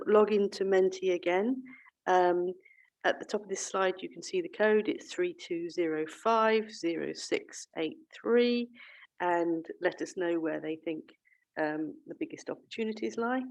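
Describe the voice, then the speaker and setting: A woman's voice: on the low side, darkish tone, dull audio. A woman moderating an online breakout session over a video call, talking with many fillers and restarts. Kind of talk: online meeting facilitation.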